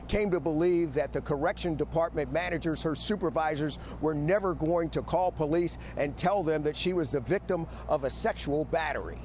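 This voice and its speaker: A man's voice: on the high side, a little mellow, a little hiss or hum. A man with an American accent reporting in a broadcast news style as a television investigative reporter.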